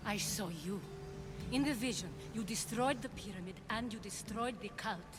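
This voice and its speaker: An actress playing a poised, ambitious female former cult leader in a video game drama, speaking in a measured, persuasive way. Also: medium pitch, over music, close to an everyday voice. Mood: solemn, visionary.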